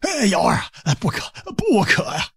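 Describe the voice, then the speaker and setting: A man playing an excitable, middle-aged county magistrate in an audiobook drama, blustering and exclaiming in a raspy voice. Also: sits high, moderate pace, exaggerated voice. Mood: pleading. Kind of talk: Chinese audiobook drama acting.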